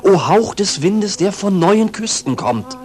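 An ardent young disciple in a 1940s German radio play. His voice sits fairly high and moves very widely in pitch. He declaims with idealistic intensity, like a true believer. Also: big pitch swings, a little slow, a little mellow. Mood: fervent awe. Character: fervent idealistic young disciple of a persecuted scientist.

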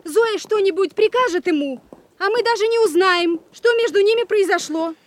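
A woman's voice: very high-pitched, moderate pace, slight background noise. A woman playing an anxious, pleading young character in a radio play, with an urgent, emphatic manner.

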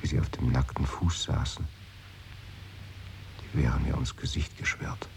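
A man's voice: extremely low pitch, steady tone, normal speed, vocal fry, a little hiss or hum. An actor in a radio play, playing a brooding man who muses aloud and often sinks into a whisper. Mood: wistful. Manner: musing, often drops to a whisper. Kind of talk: 1980s radio play acting.

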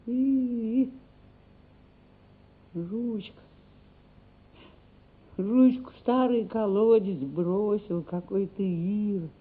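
Sorrowful peasant nanny, husky woman, folksy slow drawl, radio drama, narrow muffled audio. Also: lowish voice, full character voice. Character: simple, sorrowful peasant nanny at a child's bedside.

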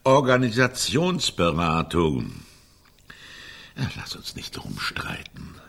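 A pragmatic older brother in a radio drama, middle-aged and sardonic. His voice is lowish and husky, and he swings widely in pitch as he hammers his points home in a dry, sarcastic way. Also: wildly swooping pitch, slow, a bit bright, heavily acted. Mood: sarcastic, weary. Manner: dry, sarcastic, emphatic repetitions. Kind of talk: German radio play acting.

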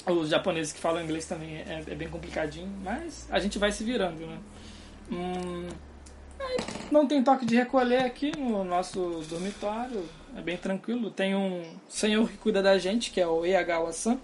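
Young carioca dude rambling about dorm life, chill and laughy, bit of faint background noise. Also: male voice, high voice, normal speed. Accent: Carioca Brazilian Portuguese. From Brazil. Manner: rambling, laid-back, laughs often. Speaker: guest. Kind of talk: casual chat. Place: dorm room.

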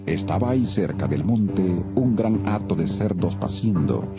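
Casting: deep-voiced man, solemn yet lively storyteller for dramatized Bible narration over music. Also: measured pace. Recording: dull audio with music bed.

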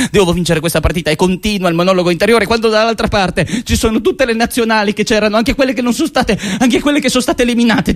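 A man performing a comic theatrical radio monologue, declamatory and emphatic, stretching some words and rushing others. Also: high-pitched. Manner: declamatory, emphatic, with drawn-out words and sudden rushes. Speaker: actor, monologist.

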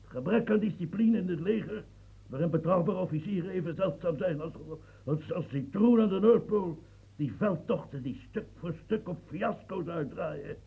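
A man's voice: sits high, moderate pace, dark tone, full character voice. An actor playing an aging French statesman near death, feverish and rambling, stammering as he talks. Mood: feverish ranting. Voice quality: gravelly, stammering. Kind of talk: radio play acting. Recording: tinny lo-fi old radio recording.

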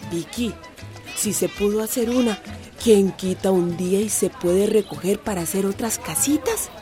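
An actress playing a warm, plucky community woman with a Costa Rican accent, telling her life story chattily in a radio drama. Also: mid-range voice, moderate pace, faint music behind, natural voice. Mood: warm, proud. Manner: chatty, folksy, emphatic.

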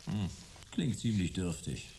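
Deep, wry private detective. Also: male voice, lively intonation, measured pace, dark tone, slight background noise. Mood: dry, laconic. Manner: laconic, ironic. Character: wry, stubborn male private detective, middle-aged. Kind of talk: German sci-fi radio drama acting.

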